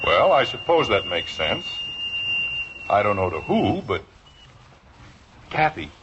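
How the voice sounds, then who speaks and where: An evasive, exasperated husband and father from a 1950s radio comedy. His voice leaps up and down as he stammers and hedges. The old recording is muffled, with clear background noise. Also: fairly low pitch, sing-song, takes it slowly. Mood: wry, weary. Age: middle-aged. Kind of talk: 1950s radio sitcom acting.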